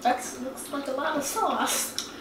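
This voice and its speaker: Higher voiced woman tossing in little mm-hmms, slow, echoey kitchen far from mic. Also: expressive, crowd noise. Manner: short encouraging replies. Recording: roomy kitchen, distant mic, clattering dishes.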